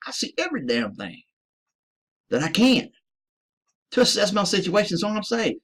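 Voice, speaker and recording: A man's voice: high voice, very animated, normal speed, slightly rough. A man from the United States, a military veteran, delivering a profane, emphatic ranting monologue to his listeners. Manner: profane, emphatic, addresses listeners as ladies and gentlemen.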